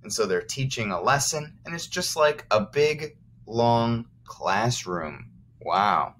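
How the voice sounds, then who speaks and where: Male first-grade teacher doing a read-aloud: unhurried, very animated intonation, encouraging, simple explanations for kids. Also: medium pitch, a little hiss or hum. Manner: expressive, encouraging, explains things simply for children. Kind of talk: read-aloud lesson for young children with explanatory asides.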